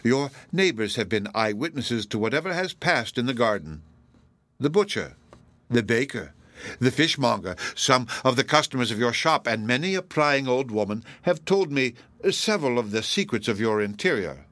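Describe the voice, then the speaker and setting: A man voicing a pompous, menacing elderly judge in a classic novel audiobook, speaking in a formal, measured, self-righteous way. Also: mid-range voice, lively intonation, a bit bright, no room sound, voice pushed up, natural voice. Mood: pompous menace.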